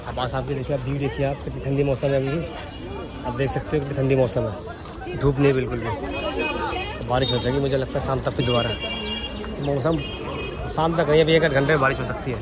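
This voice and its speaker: A young man from Assam, India, chatting casually to camera as a travel vlogger on a phone held at arm's length. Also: medium pitch. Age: young adult. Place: outdoors in village, station platform and moving train. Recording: noisy outdoor and train phone recording. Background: street, vehicle and train noise.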